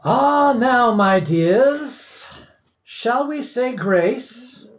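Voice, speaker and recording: A performer playing a gentle, kindly, forgiving father, earnest in manner, in an audio drama. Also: mid-range voice, lively intonation, drawn-out words, muffled recording, background noise. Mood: kindly, cheerful.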